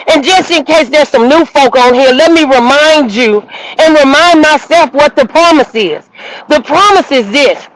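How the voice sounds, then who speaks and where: A woman minister hosting a livestream, giving an emphatic, preacherly motivational talk that at times rises to shouts. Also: high voice, rough recording. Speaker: minister and host. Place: outdoors walking in the rain.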